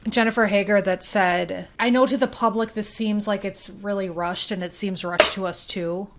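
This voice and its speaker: A woman's voice: mid-range voice, steady tone, normal speed. A woman co-hosting a podcast in casual conversation, thinking aloud and hedging her points as she goes. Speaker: podcast co-host.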